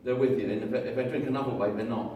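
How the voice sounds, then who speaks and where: A man preaching a lively, jokey sermon, telling a story with voices and getting the congregation to join in. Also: medium pitch, rapid-fire, a little hiss or hum. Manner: jokey, animated storytelling with audience participation. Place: large hall with an audience. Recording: echoey hall, far from mic, crowd.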